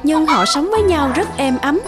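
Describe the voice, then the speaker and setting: A woman's voice: high voice, animated, normal speed. A woman giving scripted storybook narration of a folktale, with music behind her.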